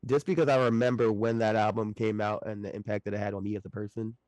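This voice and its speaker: A man's voice: lowish voice, little pitch movement, fast talker, a little mellow, no room sound. A man in his late twenties, chatting loosely as a podcast guest, laughing often and swearing casually. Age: late 20s.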